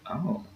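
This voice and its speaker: A man hosting a casual podcast, rambling through shout-outs and promos with lots of fillers. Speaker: podcast host. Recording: dull lo-fi sound.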